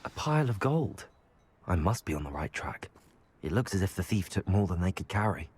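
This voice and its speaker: An actor playing an earnest, courteous young student hero in a video game, speaking in a measured way. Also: male voice, low voice, sing-song, darkish tone. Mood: musing.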